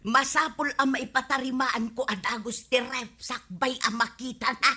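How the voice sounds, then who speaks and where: A woman's voice: sits high, steady tone, moderate pace, bright, forceful tone, husky, exaggerated voice. A woman playing a distressed, plaintive village wife in a radio comedy drama, given to wailing exclamations. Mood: fretful.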